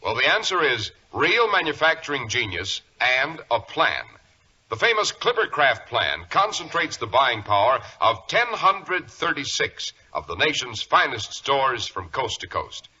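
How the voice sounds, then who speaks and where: Piercingly bright American vintage radio announcer, male. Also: mid-range voice, big pitch swings, measured pace, slightly rough. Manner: polished, emphatic sales delivery. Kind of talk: 1940s scripted radio commercial reading. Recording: dull, narrow old radio recording.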